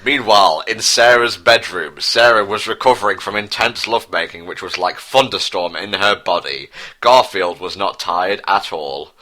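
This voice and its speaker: A man narrates with mock seriousness. His voice sits fairly low with a bright, forceful edge. He reads like the co-host of a comic dramatic reading. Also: moderate pace, low-quality recording. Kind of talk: comedic dramatic story narration.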